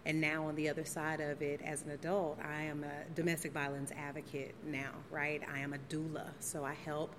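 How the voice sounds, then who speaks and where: Low-voiced woman in her forties, reflective. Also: a little fast, few pauses, in a large hall, noisy background. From St. Louis, Missouri, USA. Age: 40s. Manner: reflective, steady, with frequent ums. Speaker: oral history interviewee. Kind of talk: oral history interview. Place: theater venue.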